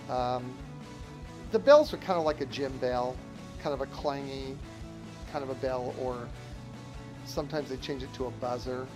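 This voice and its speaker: A man's voice: mid-range voice, rough recording. A man in his sixties, a veteran school staff member, talking informally and reminiscing in an interview. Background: guitar music.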